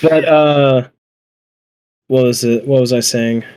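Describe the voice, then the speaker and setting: A man's voice: medium pitch, fairly even intonation, slow, a little mellow, clean tone. A man chatting casually and enthusiastically as a fan, rambling, repeating himself and swearing freely. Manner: rambling, profane, repeats phrases. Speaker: podcast guest or panelist.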